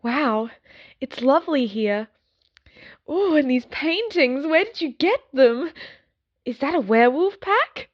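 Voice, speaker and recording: A woman's voice: high-pitched, animated, slow pace, lo-fi sound. A woman playing a curious, casual young werewolf newcomer in an audio drama, speaking in a breezy, inquisitive, informal way. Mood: impressed.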